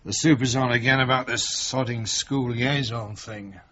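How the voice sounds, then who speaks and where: A grumbling police chief inspector in a radio play. His voice is husky and his orders come clipped and brusque, on a slightly dull old broadcast. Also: mid-range voice, steady tone, moderate pace, bright, forceful tone. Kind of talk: radio drama acting.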